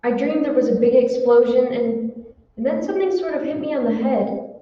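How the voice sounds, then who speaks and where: A woman's voice: high voice, brisk, lightly acted. A woman playing a concerned housewife in an old-time radio drama. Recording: echoey hall, distant, muffled, faint noise.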